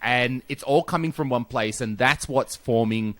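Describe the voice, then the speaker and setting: An Australian man hosting a casual podcast chat over a video call, animated and swearing freely. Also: mid-range voice, normal speed, heavy background noise. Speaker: podcast host.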